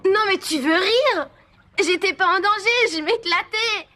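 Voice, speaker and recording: A woman voicing a plucky, excitable schoolchild in an animated cartoon, speaking in emphatic exclamations. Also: very high-pitched, measured pace, exaggerated voice. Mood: excited. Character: plucky, excitable French schoolchild on a field trip.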